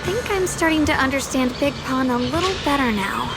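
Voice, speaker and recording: An actress voicing an earnest, gentle young female swordmaster in a video game, with loud music behind her. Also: high-pitched, animated, fairly quick. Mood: pensive, uneasy.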